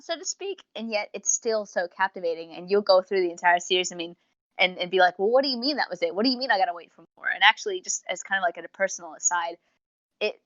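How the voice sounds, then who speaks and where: A woman's voice: medium pitch, a bit bright, dry recording, lo-fi sound. A woman co-hosting a review podcast, chatting casually and effusively, rambling off into tangents. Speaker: podcast co-host reviewing audio dramas. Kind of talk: casual unscripted review chat.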